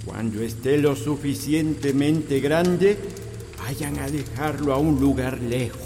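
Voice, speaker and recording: An actor playing an old male village healer who gives calm, firm instructions. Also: mid-range voice, slow pace, long reverb tail, music in the background. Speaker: actor in a sound drama.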